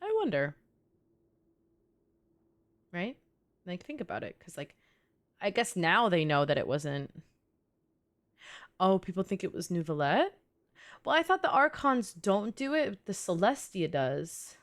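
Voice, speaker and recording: A woman's voice: on the low side, sing-song, a little slow, pauses often. A woman with a New York accent giving casual livestream game commentary, thinking aloud in loose, chatty phrasing. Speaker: streamer.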